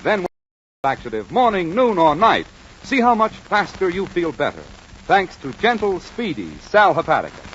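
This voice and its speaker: A man with an American accent playing a friendly, earnest druggist pal and pitchman as a 1940s radio announcer. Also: very animated, moderate pace. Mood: smooth selling. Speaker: announcer and sidekick. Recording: muffled, noisy 1940s radio recording.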